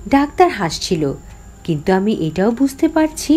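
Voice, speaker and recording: A woman's voice: a little high, very animated, normal speed, a little mellow, husky, soft background music. A woman playing a cunning, defiant young heroine who tells her horror story in an emphatic, theatrical way. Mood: tense narration. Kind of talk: dramatic first-person horror story narration.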